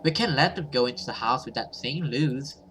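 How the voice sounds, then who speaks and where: A man voicing a frightened, pleading young woman in a horror visual novel, excitable and desperate. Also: medium pitch, a little fast, a little hiss or hum, close to an everyday voice. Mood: panicked.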